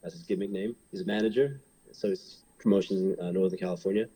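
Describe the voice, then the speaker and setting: A man's voice: deep voice, steady tone, fast talker, mellow. A man in his thirties from Hong Kong, a pro wrestler, talking casually in an interview and rambling with many fillers and restarts.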